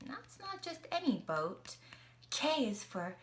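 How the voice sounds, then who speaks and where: Woman storyteller from Canada, high bright voice, slow and lively, reads to a little one. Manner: animated, questioning the listener, gasping at pictures. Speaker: storyteller reading to a child. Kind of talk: children's story reading with sing-along.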